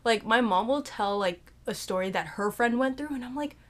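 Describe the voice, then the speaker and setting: A woman's voice: a little high, normal speed, fairly bright tone. Earnest young woman chatting on a podcast. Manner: earnest, heavy use of "like" and "you know". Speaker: podcast co-host. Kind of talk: casual chat.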